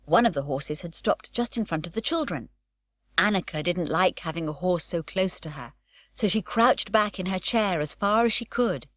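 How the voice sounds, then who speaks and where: A woman narrating an unabridged children's audiobook, with expressive, varied storytelling. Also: on the low side, moderate pace, no room sound, clean studio sound.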